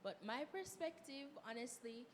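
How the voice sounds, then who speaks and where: A hoarse 21-year-old woman student speaking frankly and bluntly on a live panel. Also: sits high, animated, normal speed. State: hoarse, a bit sick. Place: event hall, live audience. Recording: hall reverb with faint noise.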